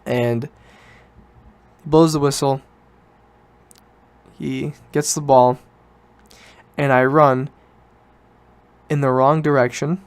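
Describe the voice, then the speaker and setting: A young man in his late teens talks as if hosting his own podcast. His voice is clean and smooth, sitting at an average male pitch. He tells things in a loose, informal way, doubling back to correct himself as he goes. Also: steady tone, slow pace, pauses often, no echo. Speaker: podcast host. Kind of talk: casual solo podcast chat, rambling storytelling.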